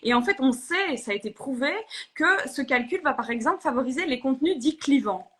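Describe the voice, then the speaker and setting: Earnest woman, high-pitched. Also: animated, brisk. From Belgium. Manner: earnest, many fillers and restarts. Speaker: politician guest. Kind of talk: live interview on social media.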